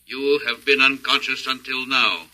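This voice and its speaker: A sharp, metallic-bright emotionless alien conqueror. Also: male voice, medium pitch, normal speed, rough recording. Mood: cold, formal. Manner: flat, formal, stilted precise phrasing. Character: emotionless male alien conqueror, precise, literal-minded, thousands of years old. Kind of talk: old radio drama acting.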